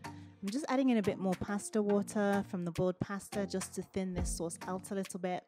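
A woman hosting a cooking video, calmly talking through each step in a voice-over. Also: mid-range voice, fairly quick. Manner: relaxed step-by-step instructions. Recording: voice-over with music underneath. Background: background music.

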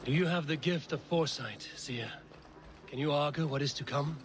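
A man's voice: fairly high pitch, moderate pace, lightly acted. Earnest Viking warrior played by a man, noisy surroundings. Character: steady, earnest Viking warrior, loyal counsellor. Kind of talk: dramatic video game acting.

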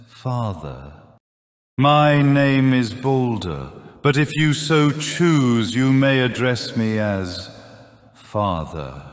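Man as grave villainous father, slow, pompous, expressive pitch, game-like demo, reverberant. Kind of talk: synthetic character voice demo.

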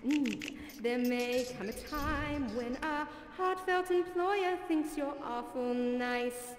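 A high-voiced, glamorous diva cat crooning and gushing endearments, as a woman puts her on, unhurried and theatrical. She sounds from a roomy hall with a long echo, music playing behind her. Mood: sultry singing. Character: glamorous diva female cat cabaret singer. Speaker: variety show performer. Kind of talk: cabaret song and stage patter.

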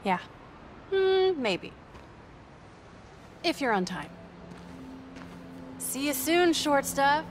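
A woman's voice: high-pitched, sing-song, extremely slow, long pauses, slight background noise, full character voice. A woman playing a teasing, clever teenage girl in a naturalistic video game scene. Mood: playful. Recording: music behind the voice.

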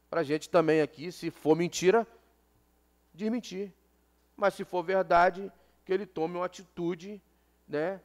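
A man with a notably high voice speaks at a council chamber desk microphone. He is a city councilman in his forties from Brazil. He delivers an impassioned floor speech and presses his points by repeating them. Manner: impassioned, repeats phrases for emphasis. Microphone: desk gooseneck microphone.

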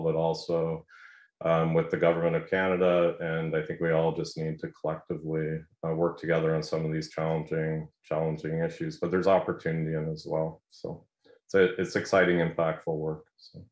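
Low, flat-voiced man on a video call. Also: a little fast. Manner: earnest, filler-heavy, repeats words. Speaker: panelist. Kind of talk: video-call panel discussion. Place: home office. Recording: roomy, dull video-call audio.

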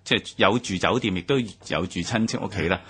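Fast-talking raspy man, Hong Kong Cantonese. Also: on the low side, a bit bright. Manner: quick, chatty, laughs easily. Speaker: radio co-host. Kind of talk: radio history talk.

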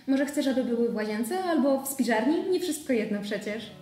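A woman's voice: fairly high pitch, animated, fairly quick, warm tone, faint music behind, close to an everyday voice. A woman playing an ironic, outspoken wife in a radio drama, speaking with mocking sarcasm. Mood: sarcastic. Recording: distant mic in a roomy space.

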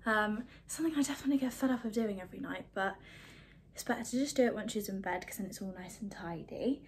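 A woman in her twenties chatting casually through her evening routine at home, rambling with lots of ums and quick asides. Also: mid-range voice, slight background noise. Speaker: vlogger. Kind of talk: casual chat. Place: small flat, indoors, lounge, kitchen and bathroom. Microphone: phone held at arm's length.

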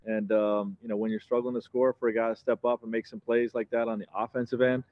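A man in his forties, a head coach giving measured postgame answers at a press conference, full of "you know" and "um" fillers. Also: mid-range voice, flat delivery, no echo, narrow, tinny audio. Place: press conference backdrop, indoors.

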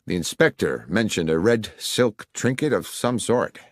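A male narrator acts a well-mannered gentleman in an audiobook mystery. He sounds formal and uneasy, flustered beneath his courtesy, close and dry with no room sound. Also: on the low side, lively intonation, measured pace, no room sound. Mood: courteously puzzled. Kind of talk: dramatised audiobook dialogue.

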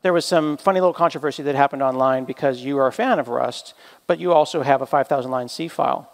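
A man's voice: mid-range voice, quick pace, gravelly, long reverb tail. A man hosting a live tech event, chatting in a playful, teasing, upbeat way with his guest. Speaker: event host. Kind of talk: casual hosted conversation.

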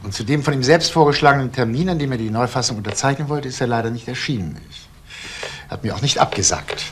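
An actor playing a formal notary in a crime radio play, speaking in a dry, measured, official manner. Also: male voice, mid-range voice, slight background noise, close to an everyday voice. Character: formal, precise notary reading out documents.

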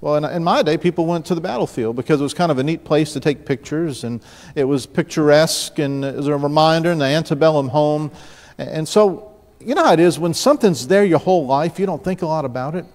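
A middle-aged man from the New Orleans area, preaching at a church pulpit. His voice sits a little high for a man, and he tells stories in a loose, conversational way with frequent restarts. The sound carries a long reverb from the sanctuary. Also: fairly quick, darkish tone. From New Orleans area, Louisiana. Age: 50s. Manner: storytelling, conversational, with restarts and asides to the congregation. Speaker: pastor. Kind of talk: sermon. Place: church sanctuary, large room. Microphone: pulpit mic, mid-distance camera.

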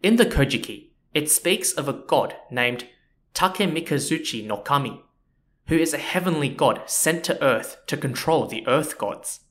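A man's voice: on the high side, moderate pace. Chatty man narrating a history podcast. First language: not Japanese. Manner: relaxed and chatty, with frequent "you know" and "so yeah". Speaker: podcast host.

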